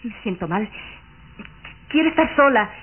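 A woman's voice: fairly high pitch, expressive, moderate pace, natural voice. An actress playing a frightened, distraught young woman in a radio melodrama, faltering and pleading. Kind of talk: old radio melodrama acting. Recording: noisy, tinny old radio recording.

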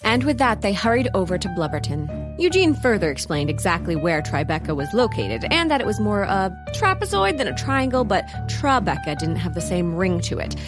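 A woman's voice: medium pitch, sing-song, a little fast, over music, natural voice. A woman playing the arch, dramatic narrator of a comic adventure audio drama, reading a script. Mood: arch, suspenseful.

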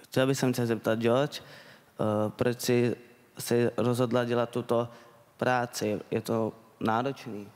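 A young man from the Czech Republic giving halting, hesitant panel remarks into a handheld microphone on a conference stage. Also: mid-range voice, level tone, a little mellow. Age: young adult. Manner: hesitant, halting, repeats words. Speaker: self-advocate and panel speaker. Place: on stage in a large conference hall. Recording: reverberant conference hall sound.

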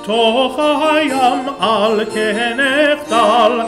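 A woman's voice: fairly high pitch, a little slow. A woman singing a classical Renaissance song with musical accompaniment. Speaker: singer. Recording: echoey room with music.

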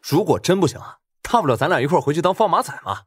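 A man's voice: fairly high pitch, very animated, rapid-fire, husky, no echo, studio quality. A man playing an easygoing, hesitant working man and loyal buddy, talking colloquially in an audiobook drama.

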